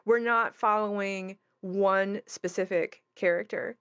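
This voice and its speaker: A woman's voice: medium pitch, fairly even intonation, takes it slowly, fairly bright tone, clear, resonant tone, no echo, clean studio sound. A woman who creates tabletop games, talking casually and thoughtfully as she explains her work. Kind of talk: casual panel chat.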